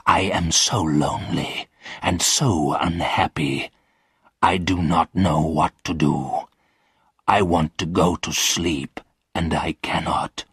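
Storybook ghost, acted by a man: centuries old, lonely and solemn, very husky, speaking slowly. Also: rumbling low, steady tone, a bit bright, no echo, clean studio sound, voice pushed down, heavily acted. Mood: weary, pleading. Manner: slow, weary, solemn delivery.

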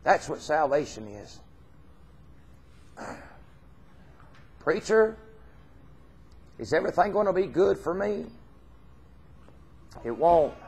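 Southern guy preaching off the cuff, kinda high voice, earnest and folksy, repeats himself. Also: very animated, takes it slowly, pauses often, a little mellow. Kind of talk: informal extemporaneous sermon. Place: church hall stage, indoors.

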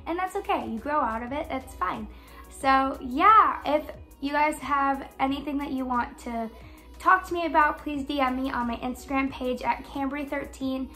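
A young woman with a high voice hosts a read-aloud for kids. She reads picture-book text expressively and talks casually and directly to her young audience, recorded at home in a living room. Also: normal speed, pushed and bright, faint music behind. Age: young adult. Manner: addresses young listeners with questions. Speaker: children's read-aloud host, education student.

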